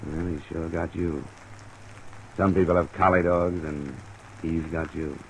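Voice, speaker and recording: A man's voice: low voice, fairly even intonation, measured pace, vocal fry, low-quality recording. A man playing a tough, cynical insurance investigator in an old-time radio drama, blunt and pressing. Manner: blunt, hard-boiled, pressing. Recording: old radio recording with faint hiss.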